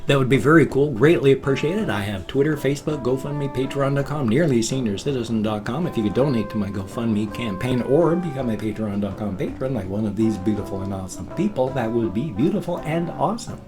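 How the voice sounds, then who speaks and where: An American man in his fifties talks casually to his vlog viewers in an easy, medium-pitched voice. He stretches out his sign-offs and thank-yous slowly. Music plays clearly behind him. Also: expressive. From United States. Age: 50s. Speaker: vlogger.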